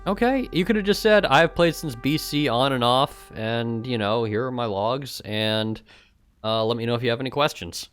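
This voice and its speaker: A man's voice: medium pitch, moderate pace, a bit bright, soft background music. A man streaming casually, reading aloud and adding sarcastic, exasperated commentary, with swearing. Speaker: streamer and gaming guild member.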